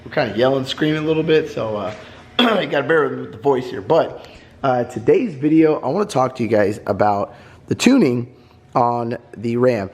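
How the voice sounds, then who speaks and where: A hoarse man who has lost his voice, chatting casually and rambling in a vlog while driving his truck. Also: mid-range voice, moderate pace, darkish tone. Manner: chatty, rambling, clears throat. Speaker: vlogger. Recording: noisy car interior. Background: engine and road noise.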